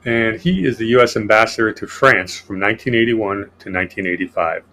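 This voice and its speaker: A man with a fairly low voice hosts a casual political podcast. He works through his notes and reads them aloud, and his delivery stays dry and sardonic. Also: fairly quick, pushed and bright, slight background noise, low-quality recording. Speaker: podcast host.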